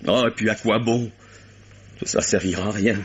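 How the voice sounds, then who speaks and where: A man's voice: fairly low pitch, steady tone, normal speed, a little hiss or hum, natural voice. A man playing an anguished accused actor. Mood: bitter. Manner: agitated, bitter and sarcastic, breaks off mid-sentence. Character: anguished male stage actor accused of murder. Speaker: murder suspect. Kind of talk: 1950s French radio drama acting. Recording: dull lo-fi old radio broadcast.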